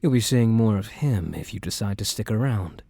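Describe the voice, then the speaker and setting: A man playing a protective young mafia boss boyfriend in an ASMR roleplay, speaking gently and intimately in a measured, guarded way. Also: lowish voice, lively intonation, vocal fry. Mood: reassuring, tender. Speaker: roleplay voice performer.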